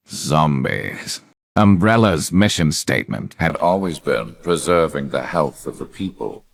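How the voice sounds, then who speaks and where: A man voicing a cold, arrogant, scheming superhuman video game villain in a measured, theatrical, menacing delivery with a gravelly voice. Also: very deep voice. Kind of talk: scripted video game villain narration and dialogue.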